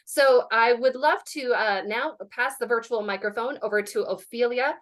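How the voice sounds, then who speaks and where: A woman's voice: fairly high pitch, normal speed, fairly bright tone. A woman hosts an online session and hands over to the main speaker. She talks informally with plenty of fillers, heard through a video call. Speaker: event host. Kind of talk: introducing a speaker.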